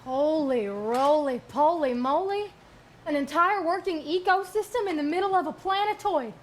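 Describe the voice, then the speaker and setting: A woman's voice: high voice, expressive, moderate pace. A woman playing a folksy, easily amazed starship doctor in a parody stage reading. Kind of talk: parody stage musical acting. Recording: live stage, faint ambient noise.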